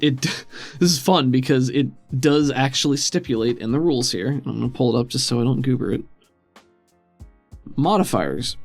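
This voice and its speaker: A man running a tabletop game as game master, narrating and explaining rulings in a casual, conversational way. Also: mid-range voice.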